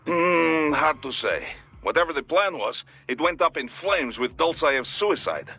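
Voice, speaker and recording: An actor playing a gruff, businesslike Russian security boss in a video game, with a Russian accent. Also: male voice, on the high side, expressive, pushed and bright, full character voice. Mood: grave. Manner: businesslike, measured.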